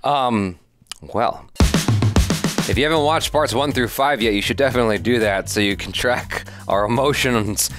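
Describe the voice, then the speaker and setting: A man's voice: medium pitch, moderate pace. A man in his thirties hosting a casual reaction show, talking into a headset mic. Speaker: reaction channel host. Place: small indoor studio. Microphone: headset microphone. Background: game soundtrack music.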